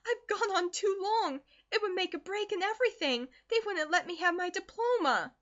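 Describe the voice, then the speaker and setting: A woman reads aloud, doing an earnest teenage schoolgirl who is anxious and pleading. The voice sits very high and bright. The recording is dull and lo-fi. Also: moderate pace. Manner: pleading and distressed.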